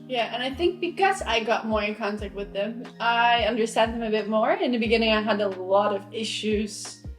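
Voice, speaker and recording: A woman's voice: on the high side, normal speed, echoey room, faint music behind. A young woman from Europe chatting casually, giggling often as a vlogger. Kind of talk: casual chat.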